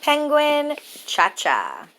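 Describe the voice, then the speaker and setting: A woman storyteller reading a children's picture book aloud with measured, rhythmic, expressive phrasing for young listeners. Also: high-pitched, vocal fry, slight background noise, rough recording.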